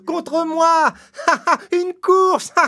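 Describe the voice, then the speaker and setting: An actor voicing a boastful, mocking, lazy hare in an animated fable, with a smug theatrical delivery. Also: very high voice, extremely slow, full character voice. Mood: mocking amusement. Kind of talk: animated fable character acting.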